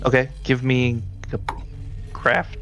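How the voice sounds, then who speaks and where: A man's voice: mid-range voice, completely flat delivery, slow pace, fairly bright tone, soft background music. A man speaking casually as game master, improvising narration for a tabletop role-playing game. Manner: casual, conversational.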